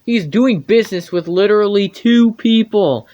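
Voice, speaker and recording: A man's voice: high-pitched, moderate pace, mellow, muffled recording. A young man giving humorous, informal scripted commentary on a video game, with a sarcastic edge.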